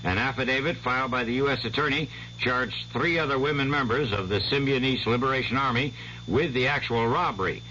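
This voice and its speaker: A man reading the hourly network news as newscaster in a measured, authoritative way. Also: medium pitch, sharp tone. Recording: muffled old radio broadcast with faint hiss.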